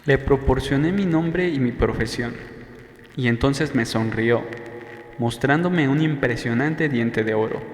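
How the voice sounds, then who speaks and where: A man with a mid-pitched voice reads a novel as an audiobook narrator. The sound is very echoey, with long reverb and a faint background noise. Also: measured pace. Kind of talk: scripted first-person novel narration.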